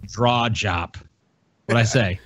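A man's voice: on the low side, slow pace, slight background noise. A man hosting a podcast, chatting casually with crude, heavily profane language and reading out viewer messages. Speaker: podcast host.

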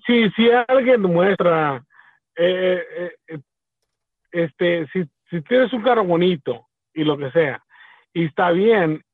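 A man speaking haltingly and repeating his words in casual radio chat, over a thin phone line. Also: high-pitched, no room sound.

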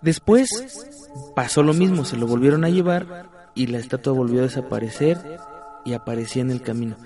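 A man's voice: mid-range voice, a little fast, mellow, faint music behind. A man, co-hosting a casual chat show and telling stories with many restarts. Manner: storytelling, many restarts and filler words. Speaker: podcast co-host. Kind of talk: casual chat about the paranormal.

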